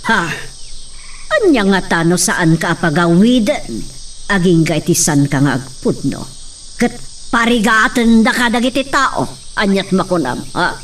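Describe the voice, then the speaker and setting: Actress as a haughty rich doña, imperious and accusing, radio drama, a little distant from the mic. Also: female voice, on the low side, big pitch swings, measured pace. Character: haughty wealthy landowner woman, interrogating. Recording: distant mic with faint noise.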